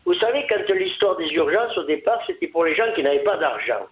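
A man's voice: fairly high pitch, sing-song, fairly quick, roomy sound. A man from southern France with a southern French accent, calling into a radio show and speaking bluntly and jovially. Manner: blunt, jovial, repeats points. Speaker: radio caller. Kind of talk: call-in phone comment. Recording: tinny phone line.

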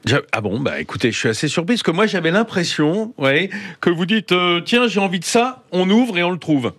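A man hosting a food talk show on radio in a studio, chatty and lively, with lots of fillers. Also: high-pitched, a little fast. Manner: lively, chatty, many fillers, laughs often. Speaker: radio host. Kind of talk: casual radio chat. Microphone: studio mic.

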